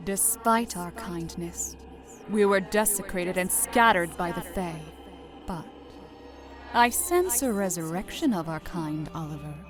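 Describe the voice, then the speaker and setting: A woman playing a revered spider deity in an audio drama, commanding and serene, speaking in slow, measured phrases. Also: lowish voice, expressive, over music. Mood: solemn, brooding. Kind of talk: visual-novel audio drama.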